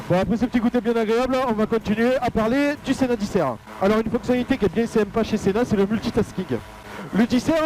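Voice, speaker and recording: A man with a high-pitched voice presents a casual review in a chatty, joking way. He explains things as he goes, speaking into a helmet headset mic with faint motor noise behind him. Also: a little mellow. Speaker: paramotor pilot vlogger and presenter. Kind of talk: casual product review spoken while flying. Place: outdoors, flying a paramotor. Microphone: helmet intercom headset microphone with noise reduction. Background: motor noise, muffled.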